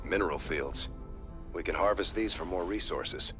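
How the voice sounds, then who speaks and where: A man voicing a stern, steady starship commander in a video game, speaking in a measured, serious, commanding way. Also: low-pitched, lightly acted. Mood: calm, businesslike. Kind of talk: dramatic video game acting. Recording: loud game music behind.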